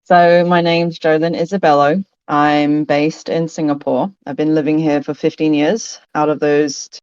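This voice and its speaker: A woman from London, a podcast guest who answers thoughtfully, pausing and restarting phrases. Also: sits low, level tone, a little mellow, smooth, dry recording, highs cut off. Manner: thoughtful, hesitant, restarts phrases and laughs often. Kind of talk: casual interview conversation.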